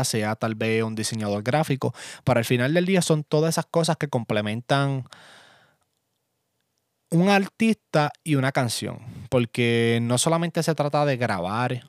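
A young man from Puerto Rico with a Puerto Rican accent, hosting a casual solo podcast from his home studio in a relaxed, chatty way with lots of fillers. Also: mid-range voice, dry recording. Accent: Puerto Rican Spanish. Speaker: podcast host and music producer.

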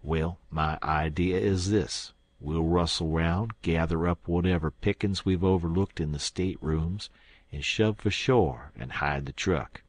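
A man voicing a cool-headed, scheming gang leader in an audiobook, with deliberate, persuasive rustic talk. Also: low voice, no room sound. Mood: calmly scheming. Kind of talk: audiobook character acting.

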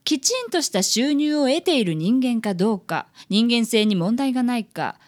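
Imperious rich female client played by a woman: high, animated, ladylike condescension, radio comedy. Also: normal speed, lightly acted. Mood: proud, demanding. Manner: condescending, ladylike, self-satisfied. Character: haughty, wealthy female company president, imperious client. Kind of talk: comedic radio drama acting.

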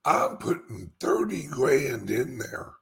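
A man with a raspy voice delivers an informal, emphatic monologue as a crypto commentator, repeating phrases and leaving dramatic pauses. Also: mid-range voice, very animated. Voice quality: speech impediment. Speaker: cryptocurrency commentator and show host.

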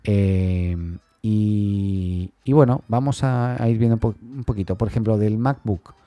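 A man hosting a tech news podcast alone, talking informally and haltingly as he thinks aloud, often adding little questioning tags. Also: on the low side, dark tone, dry recording, faint music behind.

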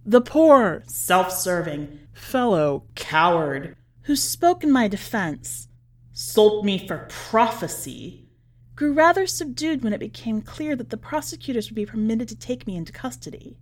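A woman's voice: fairly high pitch, big pitch swings, a little slow. An imprisoned letter writer, played by a woman narrator, genteel and over-polite with a brittle, ironic cheer. The voice swoops through ornate sentences in a dramatic story reading.

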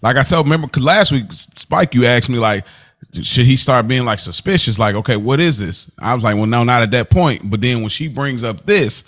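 A man co-hosting a casual podcast, rambling and animated as he acts out scenes from the show. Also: medium pitch, brisk. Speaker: podcast co-host. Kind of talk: casual chat.

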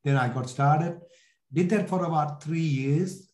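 Guy in his 60s w sri lankan accent, soft mellow tone, talking thru his story, stops and rephrases, roomy echo. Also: a little high, a little slow. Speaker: podcast guest, entrepreneur. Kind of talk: conversational interview, telling life story.

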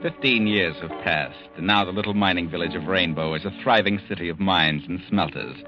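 A man narrating a 1940s radio drama as a grave storyteller, measured and dramatic. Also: low-pitched, natural voice. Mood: grave, suspenseful. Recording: dull old radio recording. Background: dramatic music.

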